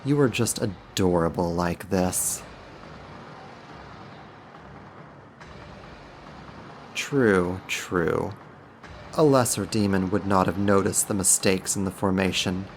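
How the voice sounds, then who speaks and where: A man voicing a powerful, smugly dominant archdemon in an ASMR roleplay, mocking and menacing with a theatrical, deliberate delivery. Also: low voice, slight background noise. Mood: gloating. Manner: theatrical, taunting, slow and deliberate.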